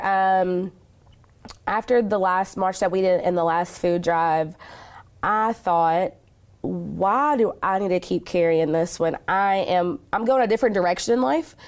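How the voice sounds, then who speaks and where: A woman with an American accent speaks in a slightly low-pitched voice as an interview guest. She is earnest and emphatic, answering conversationally with the conviction of a community advocate. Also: a little mellow. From Kentucky, United States.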